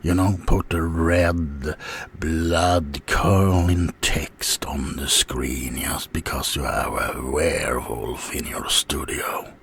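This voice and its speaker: A man performs a wounded, self-pitying werewolf in an ASMR mock interview. The voice sits very low and moves slowly, halting through grievances and often sinking into a whisper. A faint noise sits behind it. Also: fairly even intonation, a bit bright, full character voice. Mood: offended. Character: offended, self-pitying male werewolf named Fred.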